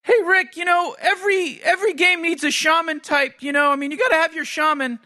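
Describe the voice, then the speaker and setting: A man's voice: extremely high pitch, fairly even intonation, fairly quick, bright, forceful tone, heavily acted. A man voicing a nervous, timid teenage boy sidekick who stammers and whines. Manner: stammering, anxious, whiny. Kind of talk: comedic commentary on game characters.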